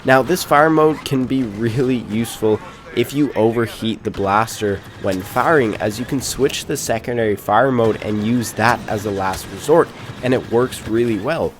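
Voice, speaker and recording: A man's voice: mid-range voice, normal speed, slight background noise. A man with a stuffed-up, congested voice gives polite, scripted commentary over game footage as a channel host. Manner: polite, formal phrasing.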